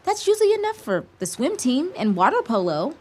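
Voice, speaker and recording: Teen girl leader: slightly high, lively pitch, earnest and full of self-doubt. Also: brisk. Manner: earnest, unsure.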